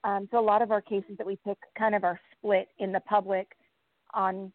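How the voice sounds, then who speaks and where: Dry, phone-thin audio carries a woman's voice at a middle pitch. She answers as an interview guest in a casual, conversational style. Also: flat delivery, normal speed, no room sound, narrow, tinny audio. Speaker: podcast host, interview guest.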